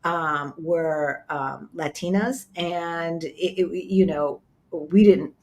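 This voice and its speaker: A woman's voice: sits low, takes it slowly, darkish tone. A woman from Southern California hosting a casual interview, chatty and agreeable, often checking in with "right?". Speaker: host.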